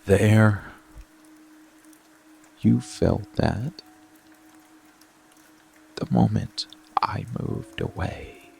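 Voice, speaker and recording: A man voicing a dark, seductive, protective monster lover in an ASMR roleplay, speaking in a breathy, gravelly murmur with deliberate pauses. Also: fairly low pitch, full character voice. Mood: seductive, intense. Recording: rain and music behind close voice. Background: soft music and rainfall.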